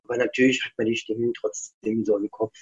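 A man's voice: lowish voice, little pitch movement, fast, muffled recording. A middle-aged man talking as an author guest in a casual interview, chatty, reflective and self-deprecating.

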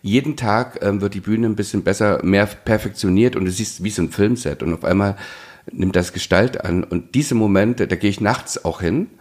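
A man's voice: lowish voice, normal speed, darkish tone. A man with chatting casually as a podcast host, effusive in wording and often searching for words. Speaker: podcast host, musical maker.

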